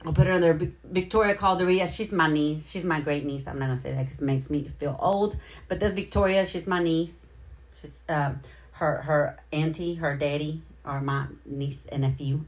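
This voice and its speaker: Low-voiced middle-aged woman, chatty livestream host. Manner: chatty, informal, slangy, addresses viewers directly. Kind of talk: casual livestream chat with shout-outs to viewers.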